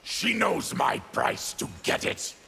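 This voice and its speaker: An actor playing an afflicted, embittered mutant warrior in a video game, with a gravelly growl and grim, deliberate, menacing delivery. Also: male voice, sharp tone. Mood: aggrieved. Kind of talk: video game character dialogue. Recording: clear background noise with clicks.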